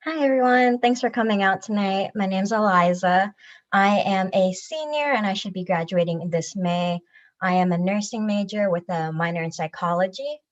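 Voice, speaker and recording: A woman's voice: medium pitch, normal speed, muffled recording. A young woman in her twenties from Virginia Beach, giving a friendly, chatty self-introduction as a pageant contestant. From Virginia Beach, USA.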